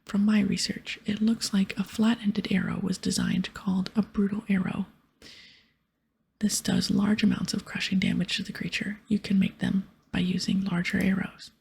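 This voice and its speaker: A self-justifying wizard trapped as an ogre in a fantasy game, whispered by a woman. The voice is hushed and extremely breathy with a creaky edge, moving between pleading and dry explanation. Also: medium pitch, level tone, moderate pace, exaggerated voice. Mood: explaining. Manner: pleading, then dryly explanatory.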